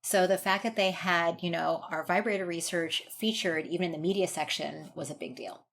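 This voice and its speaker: Female doctor guest, American, lower register, informal explainer, conversational interview delivery, slight background noise. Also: fairly quick, vocal fry, a bit bright. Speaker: guest doctor, urogynecologist and researcher.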